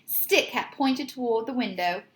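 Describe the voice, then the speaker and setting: A woman narrates a children's story in a high voice. Her reading is very animated and expressive, and she pitches questions to young listeners like a teacher. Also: a little slow.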